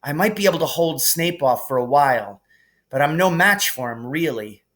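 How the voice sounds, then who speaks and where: A man's voice: fairly high pitch, lively intonation, normal speed, a bit bright. A modest, brave schoolboy wizard from a children's storybook, as a man reads him aloud. He speaks plainly and earnestly, with an urgent, worried edge.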